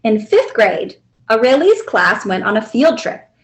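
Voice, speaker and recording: A woman narrating a children's picture book aloud in an expressive, deliberate storytelling manner. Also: on the high side. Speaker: librarian reading aloud.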